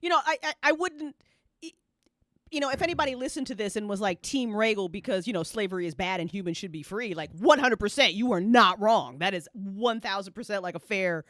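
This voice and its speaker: A woman hosting a casual fan chat about a story, talking in an animated, slangy, freely swearing way and often breaking into laughter. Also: mid-range voice, brisk, no room sound, clean studio sound. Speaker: host of an audio fiction after-show.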